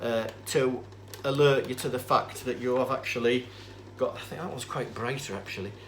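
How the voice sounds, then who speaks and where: A man with a mid-pitched voice talks through a hands-on demonstration with faint noise behind him. He explains in an informal, matter-of-fact way, rambling a little and hesitating as he goes. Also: normal speed. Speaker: presenter demonstrating vintage tape recorders. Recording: clear steady background noise.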